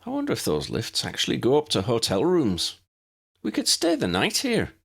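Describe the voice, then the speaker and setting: Young Irish wizard played by a male reader, friendly and chatty, lilting accent, very animated, slightly husky, for a fantasy audiobook. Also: mid-range voice, normal speed, voice pushed up, exaggerated voice. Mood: wistful.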